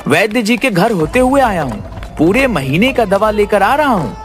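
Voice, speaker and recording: A man's voice: high-pitched, very animated, normal speed. A man playing a cunning, brash young village trickster in a cartoon story, talking persuasively and pushily. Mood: earnest. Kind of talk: animated Hindi moral-story cartoon acting. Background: faint music.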